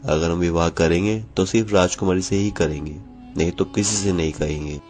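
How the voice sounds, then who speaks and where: A man's voice: sits low, steady tone, a little fast, a little mellow, faint music behind, close to an everyday voice. A man voicing an earnest, resolute prince in love, speaking formally in a cartoon fairy tale. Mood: defiant.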